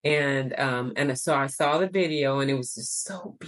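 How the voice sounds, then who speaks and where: A middle-aged woman co-hosting a podcast in a casual, chatty and expressive way, repeating words for emphasis. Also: extremely low pitch, normal speed. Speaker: co-host.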